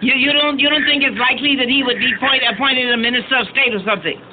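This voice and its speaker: A man working as a radio interviewer, putting political questions in a tentative, halting way. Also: very high-pitched, steady tone. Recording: noisy, narrow phone-like radio audio.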